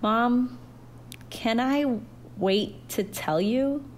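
A thoughtful, anxious growing girl in a storybook tale, voiced by a woman narrator. She is quiet and hesitant, feeling her way slowly through each sentence, over a faintly noisy recording. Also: fairly high pitch, voice pushed up.

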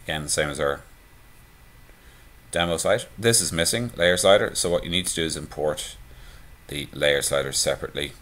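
A man giving a relaxed, conversational screencast walkthrough of a website theme, with frequent "uh" and "um" fillers. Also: a bass voice, normal speed. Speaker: theme tutorial presenter.

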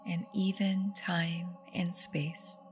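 A woman leading a guided meditation in a soft, soothing, unhurried voice that turns breathy at phrase ends. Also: lowish voice, flat delivery. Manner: soothing, unhurried, with long pauses. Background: meditative music with singing bowls.

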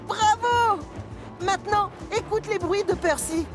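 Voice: very high voice, measured pace, over music. An actor voicing a friendly, encouraging young male steam engine in a children's cartoon dub.

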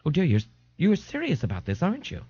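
A man's voice: on the high side, wildly swooping pitch, fast talker, mellow, slightly rough, dry recording, highs cut off, voice pushed up, full character voice. A man voicing an elegant, fussy male party host with a fretful, theatrical manner in an audiobook. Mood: peeved.

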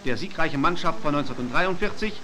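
Male newsreel narrator, 1940s archival sound: high-set voice, formal scripted delivery, heavy musical score underneath. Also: lively intonation, fast talker, rough recording. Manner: formal, declarative announcer delivery. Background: loud music.

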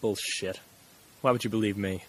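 A man's voice: lowish voice, steady tone, moderate pace, a bit bright. A man playing a bitter, defensive young murder suspect in an audio drama, speaking in a curt, guarded way.

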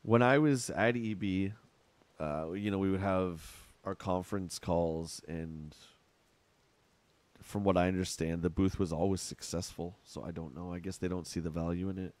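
A man's voice: low-pitched, steady tone, normal speed, a little mellow, dry recording. A middle-aged man with a Canadian accent, chatting casually with his chat while playing a game on a live stream at home. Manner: rambling, chatty, answers chat.

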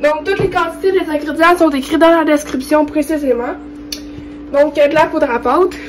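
A woman's voice: high-pitched, fairly even intonation, moderate pace. A young woman with a Quebec French accent and a congested, cold-affected voice, giving an informal, hesitant cooking tutorial to camera. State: has a cold. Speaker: vlogger. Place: home kitchen. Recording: clear background noise.

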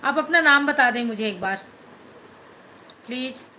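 A middle-aged woman from Madhya Pradesh chats through her phone on a live stream, greeting viewers and thanking them over and over. Her voice is bright and sits a little high, with a faint hiss of room noise under it. She is recorded in a small indoor room. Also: expressive, a little slow. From Madhya Pradesh, India. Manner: chatty, thanks viewers over and over, mixes English into Hindi. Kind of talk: casual live-stream chat, reading and answering comments. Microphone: phone held at arm's length.

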